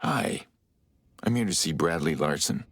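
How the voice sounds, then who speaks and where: A man playing a gruff, weary vigilante hacker in a video game, terse and husky. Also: a bass voice, expressive, a little slow, dry recording, full character voice. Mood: guarded. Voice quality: gravelly, husky. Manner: terse, understated.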